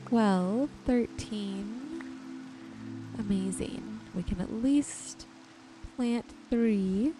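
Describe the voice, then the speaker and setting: A woman narrating her gameplay in an ASMR style, with a gentle, soothing manner. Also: mid-range voice, poor audio. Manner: gentle, unhurried, soothing narration of own actions. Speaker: host of a gaming channel. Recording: music behind the voice. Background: music.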